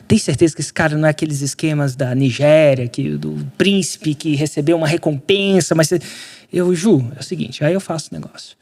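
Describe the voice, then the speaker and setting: Brazilian guy on a podcast, animated storytelling, slangy, acts out quotes, a bit high. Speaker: podcast guest, entrepreneur. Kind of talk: storytelling in casual conversation.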